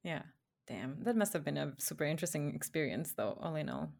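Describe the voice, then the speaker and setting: A woman's voice: deep voice, expressive, brisk, creaky, a little mellow. A woman from Ecuador, probably in her thirties or forties, talking casually and expressively in an informal conversation.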